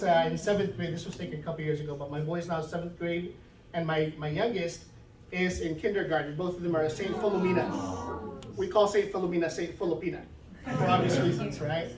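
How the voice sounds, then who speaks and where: A man's voice: fairly high pitch, a little hiss or hum. Middle-aged man talking in an echoey room. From Philippines. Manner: conversational, often asks "right?". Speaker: guest speaker. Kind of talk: informal talk with slides. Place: event room. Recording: echoey room, mic from far off.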